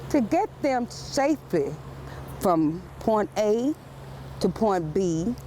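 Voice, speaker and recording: A woman's voice: mid-range voice, very animated, slow, warm tone. A woman working as a school crossing guard, speaking outdoors in short, plain phrases, in interview answers and calls to passers-by. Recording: noisy outdoor street with passing vehicles.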